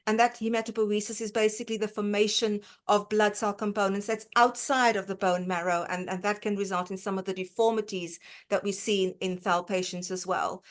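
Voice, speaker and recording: South african lady giving an online talk on diet, lots of ums, restarts herself. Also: mid-range voice. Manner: hesitant, many fillers and restarts. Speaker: dietitian lecturer. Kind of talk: online lecture presentation.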